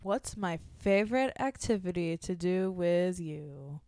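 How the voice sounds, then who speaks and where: A woman's voice: on the low side, expressive, a little slow, warm tone, no echo, clean studio sound. A young American woman chatting casually as a guest on a podcast. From United States. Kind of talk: casual chat.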